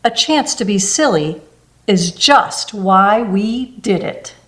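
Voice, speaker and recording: A woman reading a rhyming children's picture book aloud, gentle and unhurried, with small asides between the pages. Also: medium pitch, expressive. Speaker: storybook reader.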